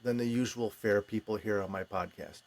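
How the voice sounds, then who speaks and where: A man's voice: fairly low pitch, fairly even intonation, normal speed. A man hosting a podcast interview in a casual, conversational style.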